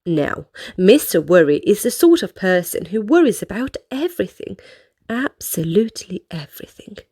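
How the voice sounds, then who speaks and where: Animated woman reading a children's storybook. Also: medium pitch, normal speed. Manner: animated, addresses young listeners directly. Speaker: children's book reader. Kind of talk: expressive read-aloud storytelling for children.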